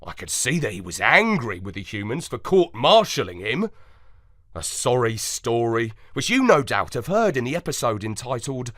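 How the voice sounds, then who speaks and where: A man's voice: medium pitch, very animated, normal speed, a bit bright, no room sound, studio quality. A man playing an earnest, loyal space dog, narrating a scripted children's story expressively. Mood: reflective. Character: earnest, loyal male space dog telling his adventures.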